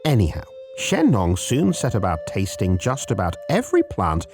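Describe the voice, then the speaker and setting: Deep, hugely animated male storyteller. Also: normal speed, over music. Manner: theatrical, playful, addresses listener directly. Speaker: storyteller, narrator. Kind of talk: scripted folk-tale narration for a podcast.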